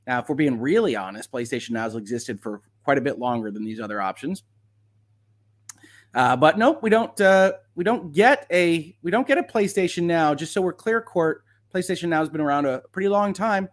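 Wry man with a higher-pitched voice. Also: animated, fairly quick. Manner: wry, conversational, with sardonic asides. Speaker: lawyer hosting a commentary livestream. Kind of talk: casual legal commentary, reading documents aloud.

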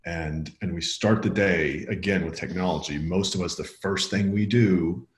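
A man with a very low voice talks through his ideas in a reflective, earnest way. He is a guest author on a conversational podcast. His voice rings with the echo of the room around him. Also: normal speed. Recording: echoey room.

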